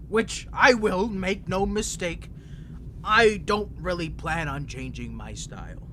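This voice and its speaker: An ancient, unhinged male vampire in chains for a dramatic audio roleplay. The voice is bright and sharp, swooping widely in pitch as he rambles, flirts and manipulates. There is clear noise behind him. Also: full character voice. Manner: theatrical, rambling, teasing, swinging between smug and pleading.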